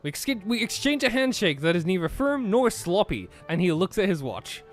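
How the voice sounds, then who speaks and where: A man with a slightly high, lively voice plays through a visual novel, reading it out and bantering casually. Faint music runs behind him. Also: normal speed. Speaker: player and narrator of a game playthrough.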